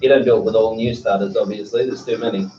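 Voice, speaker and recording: A man being interviewed, talking in a relaxed, chatty, plain-spoken way with a loud voice. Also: lowish voice, quick pace, slight background noise. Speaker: interview guest. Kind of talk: casual conversation. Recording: slightly dull lo-fi recording.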